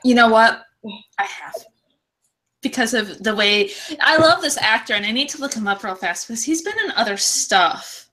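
Bright-voiced woman chatting, slightly high. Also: animated, normal speed. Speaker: podcast co-host. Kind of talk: casual chat about films.

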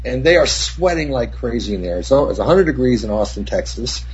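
An older man, a cinematographer, telling rambling, profane set stories with many restarts, in a husky voice. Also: medium pitch, animated, fairly quick. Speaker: cinematographer guest. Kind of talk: casual anecdote. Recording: dull lo-fi recording.

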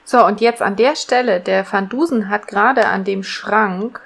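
A woman's voice: mid-range voice, normal speed, vocal fry. A woman co-hosting a podcast, chatting casually and picking at the logic with questions. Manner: questioning, picks at plot logic. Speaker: podcast co-host. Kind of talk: casual discussion of an audio drama.

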